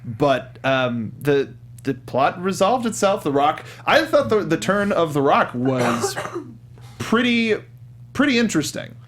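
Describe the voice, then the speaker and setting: A man's voice: on the high side, sing-song, takes it slowly, a bit bright. A young man hosting a casual film discussion, with hesitant, stop-start phrasing into a studio microphone. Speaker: podcast host. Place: small indoor studio. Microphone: studio desk microphone with headset.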